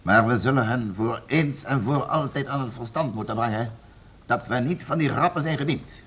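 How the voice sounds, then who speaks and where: A man's voice: mid-range voice, moderate pace, fairly bright tone, lightly acted. An actor playing a stern, domineering nobleman in a period radio play, curt, impatient and commanding. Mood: resolute. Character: stern, domineering nobleman interrogating servants for the crown. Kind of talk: period radio play acting in formal Dutch.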